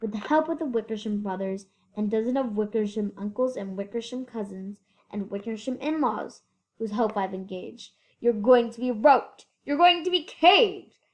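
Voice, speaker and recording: A woman's voice: on the high side, lively intonation, normal speed, natural voice. A female reader voicing a big, scornful, bossy kangaroo in a storybook, scolding with snapping emphasis. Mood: threatening.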